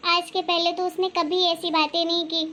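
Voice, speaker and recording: A woman acts out a fretful young housewife from a cartoon family story. Her voice is extremely high and bright, with little melodic swing. She sounds plaintive and complaining, over faint background noise. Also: flat delivery, normal speed. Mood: worried, upset. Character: worried, soft-hearted young housewife, mother and elder sister-in-law. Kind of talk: cartoon family drama voice acting.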